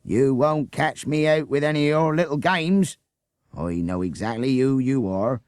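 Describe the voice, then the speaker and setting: A man's voice: mid-range voice, lively intonation, moderate pace, darkish tone, smooth, dry recording, studio quality, pitched above the performer's own voice, natural voice. A man voicing a blustering, suspicious village policeman who talks sarcastically and keeps adding "eh?". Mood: sarcastic, suspicious. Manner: sarcastic, jeering, tacks on "eh?".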